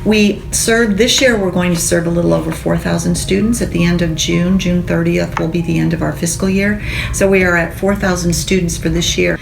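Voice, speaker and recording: A woman with a low, gravelly voice explains her organization's work in a matter-of-fact way, running through numbers and figures. A loud rumbling noise sits behind her throughout. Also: fairly even intonation, brisk. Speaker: literacy program representative. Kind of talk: informational explanation.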